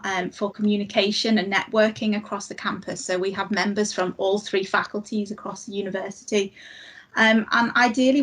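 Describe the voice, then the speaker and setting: A woman on a research staff committee, explaining at a measured pace with frequent ums in a podcast panel discussion. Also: mid-range voice, poor audio.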